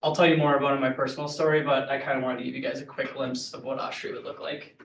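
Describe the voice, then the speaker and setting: A man's voice: mid-range voice, level tone, rapid-fire, a bit bright, slight background noise. A young man, a college senior, casually and upbeatly describing his photos, with many fillers. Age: young adult. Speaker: student speaker. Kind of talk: casual talk about photos. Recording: echoey room, distant mic.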